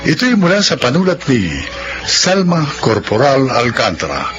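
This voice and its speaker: A man's voice: medium pitch, sing-song, normal speed, dull audio. Formal male radio announcer. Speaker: program announcer. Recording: music bed under voice.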